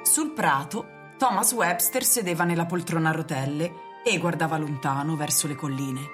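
A woman narrates a radio drama in literary prose. Her voice sits a little low. Music plays clearly behind her. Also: normal speed. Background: prominent music.

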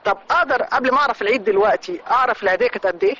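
High-voiced, very fast Egyptian male host. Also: sharp tone, highs cut off, slight background noise. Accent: Egyptian Arabic. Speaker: interview host. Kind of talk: conversational interview.

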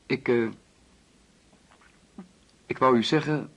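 An actor playing a polite, deferential young man in a radio play, speaking in a halting, reserved way. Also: medium pitch, lo-fi sound. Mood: awkward, grateful. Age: young adult. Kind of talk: Dutch radio play acting.

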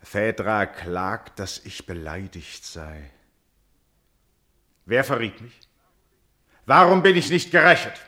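A man playing a proud, wrathful ancient Greek king and betrayed father in a classical verse radio tragedy, declaiming gravely and solemnly. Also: low voice, bright, forceful tone, full character voice. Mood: suspicious, demanding.

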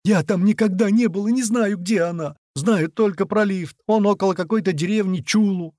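A man's voice: high-pitched, moderate pace, mellow, dry recording, clean studio sound, pitched above the performer's own voice, close to an everyday voice. A man voicing a terrified young male prisoner in an audiobook, frantic, pleading and stammering with fear. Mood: frantic, confessing. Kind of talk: dramatic audiobook character voice.

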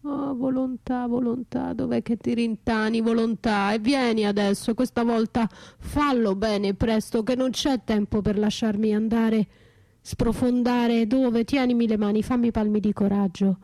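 Slow, mellow battered wife, anguished. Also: female voice, fairly high pitch, steady tone, dry recording. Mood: urgent, self-coaxing. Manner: theatrical, drawn-out, breathy, shifting from whispers to cries. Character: battered wife left for dead, anguished yet defiant. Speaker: stage actress and writer performing a solo radio play. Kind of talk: intense poetic radio theatre monologue.

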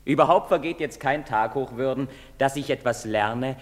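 An actor playing a restless, defiant young outcast in a sardonic, intense theatrical manner. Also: male voice, mid-range voice, normal speed, lightly acted. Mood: bitter, restless. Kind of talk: theatrical stage-play acting. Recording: long reverberant hall sound.

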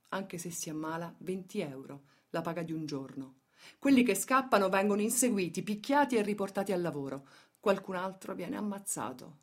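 A woman delivering dramatic scripted documentary narration for radio theatre, measured and emphatic, setting phrases apart with pauses. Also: lowish voice.

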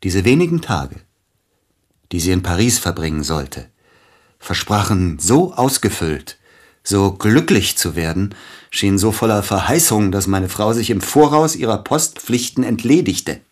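A deep, rough-edged male voice plays a bereaved concert pianist in a radio drama. He is formal and hesitant, brooding over his loss, with jealousy pressing through his courtesy. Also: big pitch swings, measured pace. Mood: agitated, bitter. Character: grieving, jealous widowed male pianist, courteous but probing. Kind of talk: German radio play acting.

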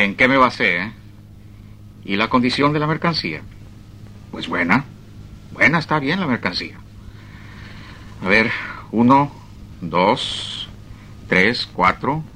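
An actor playing an exasperated, plain-spoken small-town freight agent in a comic radio drama, halting and muttering as he dictates. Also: mid-range voice, pushed and bright, slight background noise. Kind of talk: 1970s-80s comic radio drama acting.

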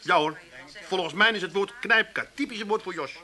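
A man's voice: high voice, fairly quick, bright, forceful tone. An actor playing a cheeky, argumentative working man with an Amsterdam accent in a comedy radio drama, mocking and blustering. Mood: mocking, indignant. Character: cheeky, argumentative Amsterdam working man, ex-crook turned bike-shed owner.

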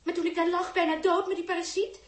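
Very high-voiced, teasing young woman. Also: flat delivery, quick pace. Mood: indignant. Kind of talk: 1960s Dutch radio drama acting. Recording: roomy early 1960s radio recording.

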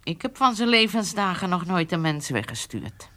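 A woman's voice: fairly low pitch, big pitch swings, normal speed, noisy background. An actress playing a proud, unforgiving old woman in a radio drama, with a curt, cutting manner. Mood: dry, firm. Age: older. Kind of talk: naturalistic radio drama dialogue.

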